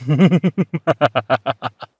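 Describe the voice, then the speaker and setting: Man playing a slow, suave centuries-old vampire gentleman. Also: on the high side, slightly rough, dry recording, dull audio, soft background music, full character voice. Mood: amused, charming. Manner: unhurried, genteel, formal wording with pauses. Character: suave male vampire, four centuries old, genteel, playfully amused host. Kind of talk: intimate audio roleplay, spoken to the listener.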